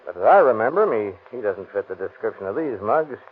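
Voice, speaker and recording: An actor playing a firm, businesslike police sergeant in an old radio crime drama. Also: male voice, on the low side, animated, fast, breathy edge. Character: brisk, no-nonsense police sergeant. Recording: tinny 1930s radio broadcast.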